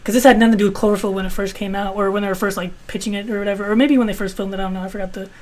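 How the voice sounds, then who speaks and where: A man in his twenties with a high-pitched voice, reviewing his film collection in a rambling, casual way with some swearing. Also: little pitch movement, racing. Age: 20s. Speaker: video host.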